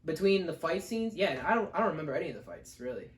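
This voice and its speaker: Higher-voiced man in an echoey room. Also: big pitch swings, fast talker, a crowd in the background. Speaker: co-host of a movie review show. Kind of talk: casual chat, film review.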